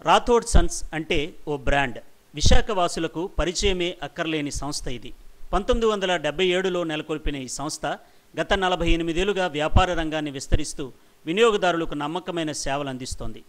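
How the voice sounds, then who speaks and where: A man narrates a scripted news report in a somewhat high voice. He delivers it as a reporter's voice-over, sentence after prepared sentence. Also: fairly quick, fairly bright tone.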